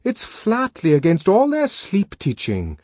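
A man's voice: sits high, huge pitch swings, a little slow, darkish tone, breathy edge, dry recording, clean studio sound, voice pushed up, exaggerated voice. A man playing an anxious, disapproving male friend in an audiobook, strained and emphatic in his reproach. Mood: reproachful. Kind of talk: audiobook character dialogue.

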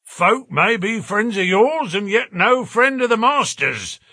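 A man voicing a gruff, blunt, burly porter and former prize fighter in an audiobook story. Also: on the high side, big pitch swings, unhurried, no room sound, clean studio sound, exaggerated voice. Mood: gruff, stubborn. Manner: rough working-class grammar, blunt and loud.

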